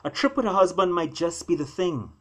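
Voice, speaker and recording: A man's voice: high voice, expressive, brisk, fairly bright tone. Man reading an animal fable with drama. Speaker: author reading own work. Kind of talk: expressive storytelling narration of animal fable.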